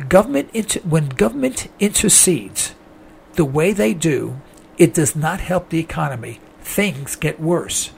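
A man hosting a call-in radio talk show, speaking in a rambling, folksy monologue while hoarse from a throat infection. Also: a little high, a little hiss or hum. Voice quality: strained, gravelly voice.

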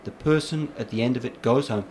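A man speaking as a guest in an interview, in a middle-pitched voice. He explains his points in a calm, assured way and readily agrees. Faint noise hums behind him. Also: fairly quick. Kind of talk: casual interview.

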